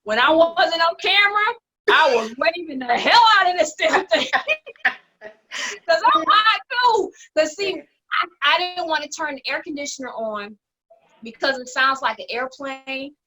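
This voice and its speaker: A woman's voice: high voice, animated, measured pace, sharp tone, low-quality recording. A woman hosting a casual cast discussion over a video call from home, speaking in an exuberant, emphatic way and often laughing. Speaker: host, playwright and producer of the cast. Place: at home indoors.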